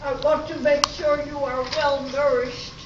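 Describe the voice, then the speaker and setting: An unbothered diner waitress, acted by a woman in an amateur radio comedy, speaking matter-of-factly. The voice is high and distant, dulled by the room, with loud noise and echo around it. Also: flat delivery, unhurried. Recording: very noisy echoey hall, distant mic, dull.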